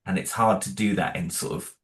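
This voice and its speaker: A low-voiced man talks through his creative choices in a relaxed interview, stopping and restarting his sentences as he thinks. The room around him rings with noticeable echo. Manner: thoughtful, halting, many restarts and "you know". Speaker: creator of an audio drama, interview guest. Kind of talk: casual interview talk.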